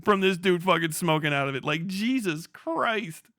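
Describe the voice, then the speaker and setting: A man's voice: high-pitched, lively intonation, moderate pace, pushed and bright, no echo, clean studio sound. A man giving casual, profane, slangy commentary as a live streamer, incredulous and mocking in manner. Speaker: live streamer reacting to internet drama.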